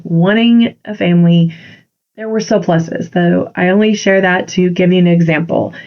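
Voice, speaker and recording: A woman with a congested voice hosting a solo podcast, speaking earnestly and encouragingly as a confidence coach. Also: lowish voice. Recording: dull lo-fi recording.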